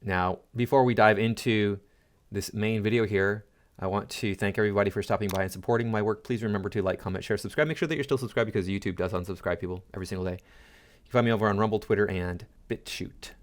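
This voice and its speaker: Low-voiced blunt male commentator. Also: fast. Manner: opinionated, blunt, talks straight to viewers. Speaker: commentary YouTuber. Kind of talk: casual reaction commentary.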